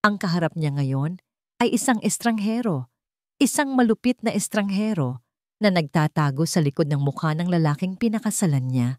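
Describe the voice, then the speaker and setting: Low-pitched Filipino woman narrating drama. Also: expressive, normal speed, a little mellow, dry recording, clean studio sound. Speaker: story narrator. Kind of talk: dramatic scripted story narration.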